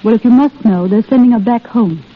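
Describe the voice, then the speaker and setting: Earnest nurse, husky, tinny. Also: female voice, on the high side, brisk. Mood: guarded, sad. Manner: earnest, dramatic. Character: earnest, indignant young English army nurse. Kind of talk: old-time radio drama acting. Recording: tinny old radio broadcast.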